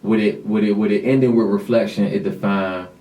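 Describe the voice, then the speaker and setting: Low-voiced Virginia host, roomy mic. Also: male voice, brisk. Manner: relaxed, affirming, lots of "man". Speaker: radio show host. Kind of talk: casual interview chat. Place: small radio studio. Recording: roomy studio, distant mic, faint noise.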